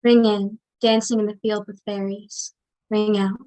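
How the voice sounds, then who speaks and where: A woman's voice: medium pitch, little pitch movement, a little slow, mellow, close to an everyday voice, low-quality recording. A woman playing a wistful daughter reliving childhood, speaking lyrical, chant-like lines in a staged play reading. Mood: dreamy, nostalgic. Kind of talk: poetic stage monologue.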